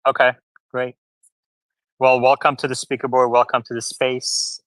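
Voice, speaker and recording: A man's voice: mid-range voice, level tone, normal speed, a bit bright, no echo, poor audio. Man hosting a live call-in space. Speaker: host of a live audio space. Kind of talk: casual chat, moderating callers.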